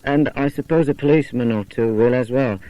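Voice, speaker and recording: A man's voice: medium pitch, brisk, lo-fi sound, lightly acted. A man voicing a casual, wary young stable boy in a children's story, speaking matter-of-factly.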